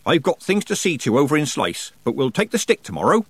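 Man voicing a brisk, cheeky old witch woman, pitched up. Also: sing-song, breathy edge, no room sound. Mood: matter-of-fact. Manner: folksy, colloquial, teasing and scolding. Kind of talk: audiobook character dialogue.

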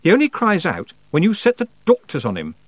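A man voicing a calm, stubborn boy, pitched high. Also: fairly quick, no echo, heavily acted. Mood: calm, defiant. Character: calm, stubborn boy heir locked in a tower. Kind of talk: audiobook character voice.

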